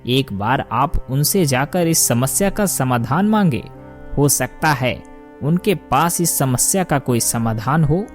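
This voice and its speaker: A man's voice: mid-range voice, expressive, measured pace, faint music behind, natural voice. A man voicing a thoughtful royal minister in a cartoon story, deferential and advising. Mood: concerned.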